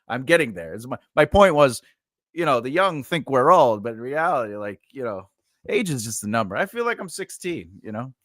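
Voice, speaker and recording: A man's voice: medium pitch, very animated, moderate pace, a bit bright, dry recording, clean studio sound. A man in his forties, a writing teacher and editor, chatting casually and rambling with laughs during a live Q&A. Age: 40s.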